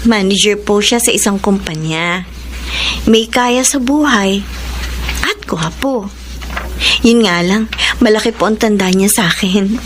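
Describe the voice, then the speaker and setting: A woman's voice: medium pitch, unhurried, pauses often, slight background noise, close to an everyday voice. An actress playing Salome, a respectful young waitress who answers her mother's questions in a classic radio drama. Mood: earnest. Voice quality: polite, respectful, softly earnest.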